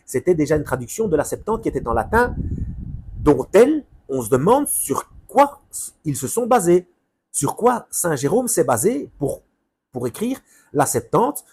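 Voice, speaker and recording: A man with a slightly high voice reads a preface aloud, stopping often on names. He fills gaps with hesitations, loses his thread, and slips into casual asides to listeners. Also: very animated, a little mellow, slight background noise. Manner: halting, stumbles over names, frequent fillers. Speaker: Bible reader and commentator.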